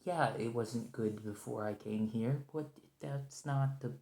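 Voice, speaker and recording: A man acting a stressed, weary captive special agent in an ASMR roleplay, rambling, halting and apologetic as he pleads, in a roomy recording. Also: mid-range voice, creaky, dark tone. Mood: weary, sarcastic. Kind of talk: ASMR roleplay monologue, improvised conversational acting.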